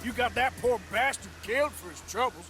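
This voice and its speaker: An actor playing a weary, gruff American outlaw with a Western drawl in a video game, terse and dryly sardonic. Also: male voice, extremely high pitch, fairly quick. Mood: angry. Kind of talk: dramatic video game acting.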